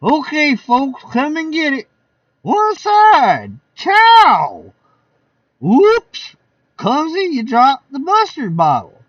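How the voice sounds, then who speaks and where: A man voicing loud, rowdy party guests at a backyard barbecue. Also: very high-pitched, wildly swooping pitch, drawn-out words, no room sound, recorded from a distance, highs cut off, pitched above the performer's own voice, full character voice.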